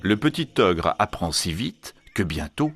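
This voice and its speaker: A man telling a children's storybook tale in an expressive, theatrical way. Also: sits low, measured pace. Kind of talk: animated children's storybook narration. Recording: music behind the voice. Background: music.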